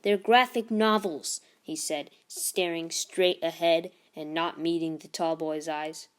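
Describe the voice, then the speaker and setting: A woman narrating a children's book aloud in an expressive read-aloud style. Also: deep voice, a little slow, clear, resonant tone.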